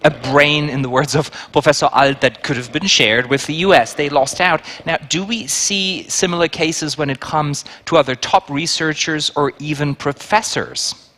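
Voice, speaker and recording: Bright-voiced man moderating a panel. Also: fairly high pitch, steady tone, moderate pace. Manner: informal, joking asides.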